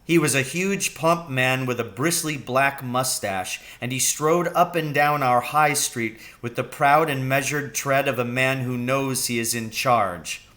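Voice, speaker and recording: A man reading a children's story aloud as narrator, in an expressive storytelling style. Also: medium pitch, measured pace, hardly any pauses. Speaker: audiobook reader.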